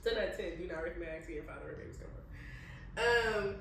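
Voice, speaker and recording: A woman's voice: lowish voice, racing. Casual American woman podcast host, informal storyteller, echo-heavy room, set back from the mic. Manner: animated retelling, acting out quoted dialogue; informal, swears freely. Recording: very echoey room, distant mic, faint noise.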